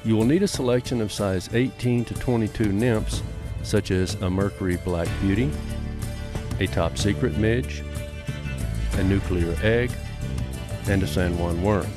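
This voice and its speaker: A man's voice: lowish voice, measured pace, pauses often. Male narrator over music. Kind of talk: scripted instructional narration.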